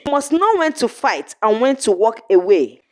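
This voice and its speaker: A woman narrator reads as a young warrior woman, wise and resolute. She speaks in solemn, measured phrases like a village leader in a storybook, with a slightly raised pitch. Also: sing-song. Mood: calm, instructive.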